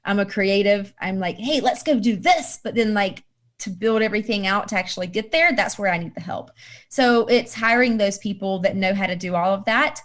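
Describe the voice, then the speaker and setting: A woman's voice: mid-range voice, moderate pace. A woman in her thirties answering interview questions in a casual, upbeat way and laughing readily. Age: 30s. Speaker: guest.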